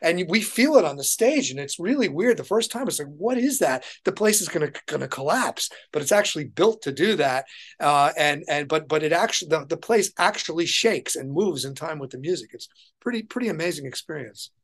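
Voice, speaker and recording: Higher-voiced man chatting enthusiastically as a guest. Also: animated, brisk, a bit bright, slightly rough. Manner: enthusiastic, anecdotal. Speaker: interview guest, touring keyboardist. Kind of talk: casual interview chat.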